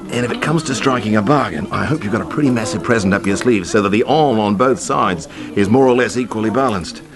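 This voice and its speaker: A jaunty male expatriate who knows Japan inside out, acted by a man in a radio drama. He talks very quickly at a medium pitch, in a colloquial, slangy, breezy way. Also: close to an everyday voice.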